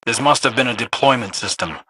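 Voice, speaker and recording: An actor playing an earnest, skeptical little robot companion in a video game, with articulate, matter-of-fact delivery. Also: male voice, medium pitch, a little fast, pushed and bright, breathy edge. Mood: puzzled, analytical. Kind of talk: scripted video game dialogue.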